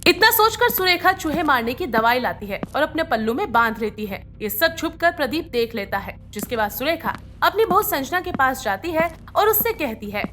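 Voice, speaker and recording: High, lively woman narrating a story. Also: moderate pace, few pauses, faint music behind. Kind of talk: scripted narration of a moral bedtime story.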